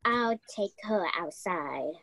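A young woman playing a bossy, self-important detective in a radio play, speaking with authority and dramatic pauses. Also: lowish voice, animated, creaky, a bit bright, no room sound, exaggerated voice. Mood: grave. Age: young adult. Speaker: actor in a youth theatre radio play.

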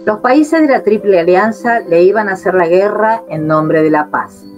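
A woman narrating a radio drama in a somber, steady way. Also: mid-range voice, normal speed. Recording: dull lo-fi audio with faint guitar music.

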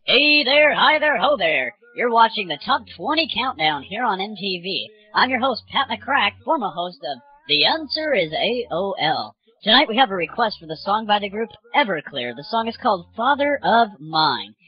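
A smarmy male TV countdown host, put on by a man, pitched high and very animated. His patter is slick and over-peppy, in a muffled recording with music behind. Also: normal speed, few pauses. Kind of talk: parody TV presenter patter.

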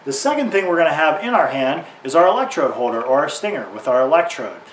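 Man in his thirties, higher-pitched voice, informal instructor, explanatory, roomy workshop recording, slightly distant mic. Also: sing-song, fast talker. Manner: explanatory, uses simple analogies. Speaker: welding instructor. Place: garage or workshop beside a tractor. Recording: roomy, distant mic, faint background noise.

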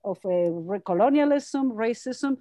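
A middle-aged woman psychoanalyst giving a lecture and answering questions on a video call from her home study, speaking thoughtfully with pauses. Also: fairly high pitch, lively intonation, warm tone, dry recording. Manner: thoughtful, hesitant with many uh fillers. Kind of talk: academic lecture and Q&A. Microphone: headset on video call.

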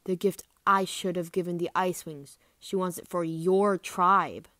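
A woman voicing a bitter, proud male dragon prince with a deliberate delivery. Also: fairly low pitch, steady tone, fairly bright tone, no room sound, clean studio sound.

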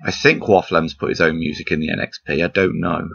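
Deep husky guy rambling over a retro game, croaky voice, kinda muffled recording with game music going. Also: fairly even intonation, brisk, a bit bright. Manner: rambling, self-deprecating, chuckles often. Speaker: gameplay commentator. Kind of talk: casual gameplay commentary. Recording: slightly dull lo-fi recording with game music behind.

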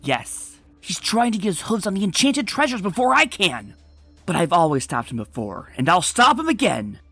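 A woman voicing a bold, confident female adventurer pony in a comic dub, talking briskly and commandingly. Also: on the low side, very animated, fairly bright tone, slightly rough, no echo, soft background music, heavily acted. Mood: determined.

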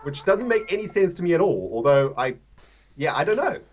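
A man's voice: on the high side, very animated, a little fast, a little mellow, slight background noise. A man from South Africa with a mixed South African and English accent, chatting casually as a livestreamer in slangy, laid-back language.

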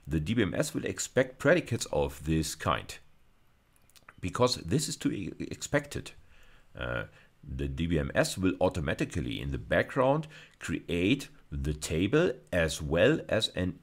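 Male lecturer, middle-aged, extremely deep and animated in intonation, hesitant explanatory delivery for a technical video course. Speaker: university lecturer.

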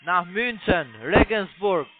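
Guy on a crappy phone line, higher voice, non-native, halting broken sentences, telling someone what to do. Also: lively intonation. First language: not German. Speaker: call centre phone scammer. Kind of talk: phone call giving instructions. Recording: tinny narrowband phone line.

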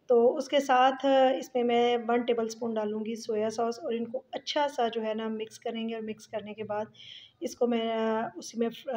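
A woman's voice: on the high side, a little mellow, highs cut off. A woman with a Pakistani Urdu accent narrating a home cooking tutorial, chatty and explaining each step with fillers. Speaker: cooking channel host.

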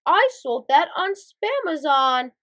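A woman voicing a wise male superhero chief in a storybook, speaking in a grand, measured and amused way. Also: high voice, big pitch swings, sharp tone, heavily acted. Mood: calm, knowing.

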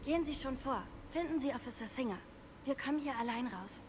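A woman's voice: high voice, lively intonation, fairly quick. An actress playing a brave, determined schoolgirl witch in a video game. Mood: resolute. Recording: distant, with game ambience noise.

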